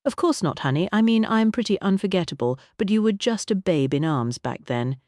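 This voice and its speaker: A narrator voicing a tall, glamorous American woman entertainer with a soft, smooth American accent, affectionate and motherly. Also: medium pitch, animated, fairly quick, no echo, studio quality, pitched above the performer's own voice, lightly acted. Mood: warm, delighted. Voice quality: smooth, honeyed drawl. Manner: affectionate, calls everyone honey.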